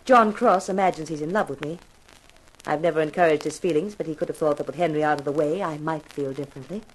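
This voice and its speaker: An actress playing a frank, self-possessed young widow confiding intimately in a detective in a 1950s radio mystery. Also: female voice, low voice, quick pace, poor audio. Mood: candid. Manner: candid, intimate.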